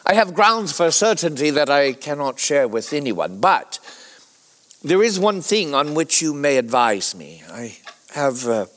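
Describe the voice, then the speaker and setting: A man playing an anguished, evasive Victorian doctor in a period drama, halting and fervent in his speech. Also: fairly high pitch, big pitch swings, fairly bright tone. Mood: uneasy, evasive. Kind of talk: period audio drama acting.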